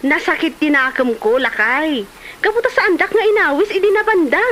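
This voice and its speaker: A woman's voice: high voice, brisk, fairly bright tone, a little hiss or hum. A woman with an Ilocano Filipino accent acting a chatty, envious village wife in a comedy drama. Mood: sulky, complaining.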